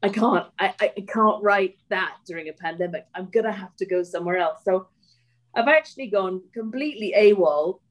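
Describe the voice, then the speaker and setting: A woman's voice: mid-range voice, brisk. A woman writer chatting on a video call panel, rambling and hesitant, often trailing off and starting over. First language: English. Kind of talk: casual panel talk.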